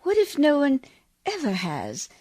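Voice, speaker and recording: A woman's voice: high-pitched, wildly swooping pitch, measured pace, dark tone, no echo, clean studio sound, full character voice. An actress in a radio play playing a prim, genteel but brave lady, proper and precise, with a fluttery romantic streak. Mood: fluttery, wistful.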